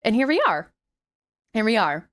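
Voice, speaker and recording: A young woman streaming and chatting casually with viewers, her voice slightly raspy after the flu, swearing freely and greeting people brightly. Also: fairly high pitch, very animated, moderate pace. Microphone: headset.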